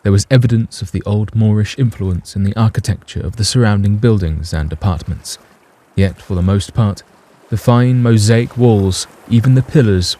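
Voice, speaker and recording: A man's voice: lowish voice, dark tone. A man narrating a scripted story in a literary, dramatic manner.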